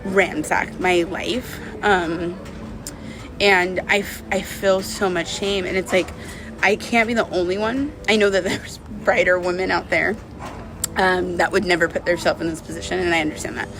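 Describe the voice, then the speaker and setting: A woman's voice: on the low side, fairly even intonation, normal speed, background noise. A woman talking casually and confessionally to camera as a vlogger, candid and rambling, with lots of "like" and "you know". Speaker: vlogger telling a personal breakup story.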